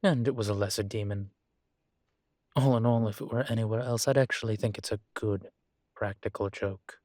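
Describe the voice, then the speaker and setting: A man acting as a composed, courteous college professor in an intimate audio roleplay, speaking with measured, formal politeness and dry wit. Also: lowish voice, steady tone, mellow, dry recording, natural voice. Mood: wry, reassuring. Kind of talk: intimate scripted one-sided roleplay dialogue.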